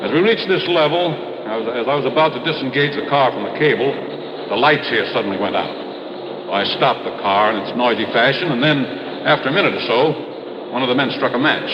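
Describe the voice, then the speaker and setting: A man voicing a middle-aged mine manager who lays out the facts plainly and earnestly. The old radio broadcast sounds roomy and dull, with a long echo and a clear hiss underneath. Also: medium pitch, a little fast, natural voice. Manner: explanatory, matter-of-fact. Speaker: mine official. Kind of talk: 1950s radio drama acting.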